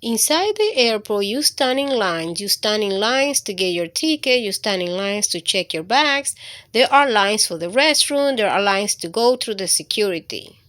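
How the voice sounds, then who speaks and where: A woman hosting storytime for children, reading aloud with deliberate phrasing and speaking straight to her young listeners. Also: medium pitch, sing-song, fairly bright tone.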